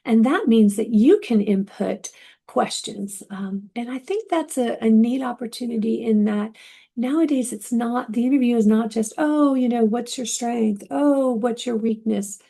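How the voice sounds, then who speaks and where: A woman's voice: on the high side, measured pace, gravelly, mellow, husky. A woman instructor giving a recorded class lecture in a conversational way, with frequent ums and restarts.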